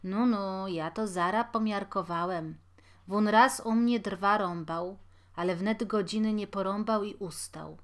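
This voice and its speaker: A woman's voice: mid-range voice, a little slow, close to an everyday voice. A woman voicing an elderly male landlord who mutters and grumbles indignantly.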